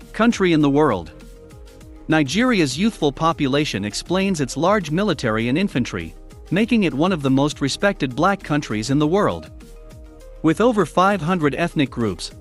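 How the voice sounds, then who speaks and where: A man reading a nonfiction book aloud in an even, measured way. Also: a little high. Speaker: audiobook reader.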